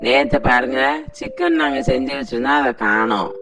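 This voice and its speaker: A man's voice: mid-range voice, huge pitch swings, moderate pace. A man voicing an aggrieved, officious neighbour in a cartoon, full of complaint. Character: aggrieved, officious male neighbour at a residents' meeting. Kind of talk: Tamil cartoon story dialogue. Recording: distant from mic, music behind.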